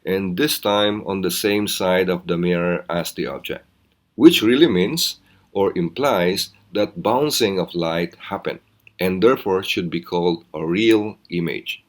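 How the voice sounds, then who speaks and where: A man teaching physics in a recorded lesson, explaining step by step in a measured, instructional way. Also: sits low.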